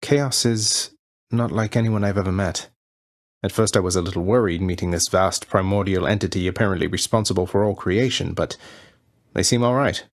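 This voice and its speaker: Rebellious young underworld prince for a game: low register, dry studio sound, wry understatement, courteous ease. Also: male voice, no room sound. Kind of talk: video game character voice lines.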